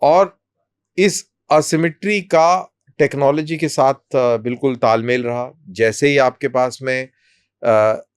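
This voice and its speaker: Man as interview guest, really clear smooth voice, explains things slowly in short chunks, dry sound. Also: mid-range voice, no echo. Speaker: army colonel, interview guest.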